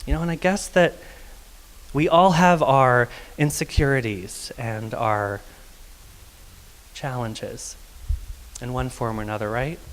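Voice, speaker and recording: Male storyteller from Texas, soft feminine-leaning voice, confessional and wryly humorous, mid-range pitch, long hall reverb. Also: slow, long pauses. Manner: confessional and wry, with light laughs. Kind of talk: live personal storytelling monologue.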